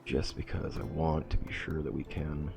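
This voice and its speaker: A man's voice: a bass voice, flat delivery, normal speed, creaky, over music. A man with a stuffy nose from a cold, hosting a casual game playthrough and thinking aloud as he reworks his plans. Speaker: let's play host. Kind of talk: casual gameplay commentary.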